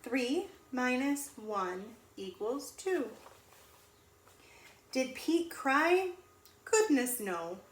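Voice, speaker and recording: A woman teacher reading a storybook aloud to young children in an animated, sing-song way, pausing to ask them questions. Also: sits high. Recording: echoey room with faint noise.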